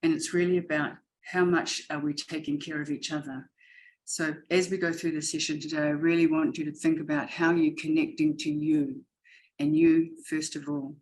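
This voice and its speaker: A woman in her fifties from New Zealand with a New Zealand accent, whose first language is Māori, speaking to educators as a panelist with an earnest, steady manner. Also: low voice, normal speed, a little hiss or hum, low-quality recording. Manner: earnest and steady, with repeated rhetorical questions. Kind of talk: warm motivational talk.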